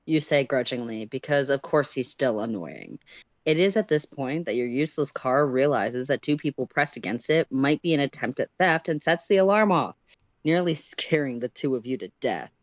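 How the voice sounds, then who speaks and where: Casting: playful female story reader and co-host, expressive delivery, very dry recording. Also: very deep voice, moderate pace, dry recording. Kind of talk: fan fiction narration and casual livestream chat.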